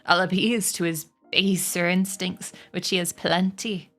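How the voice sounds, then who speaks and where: A woman voicing an old, shrewd barnyard sheep who speaks with measured authority in a storybook. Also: lowish voice, steady tone, fairly bright tone, faint music behind. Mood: matter-of-fact, scheming. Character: oldest sheep, wise, shrewd, persuasive barnyard elder.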